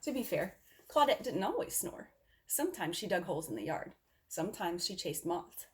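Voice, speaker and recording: A woman reading a picture book aloud to children in an expressive storytelling style. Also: fairly low pitch, moderate pace. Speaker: storybook reader.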